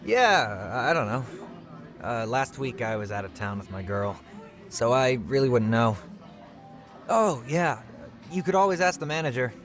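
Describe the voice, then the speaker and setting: A man's voice: medium pitch, expressive, normal speed, a bit bright, close to an everyday voice. A young man with an American accent playing a clueless, laid-back employee in a dubbed video game, slangy, hesitant and vague. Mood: vague, unbothered. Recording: faint music behind the voice.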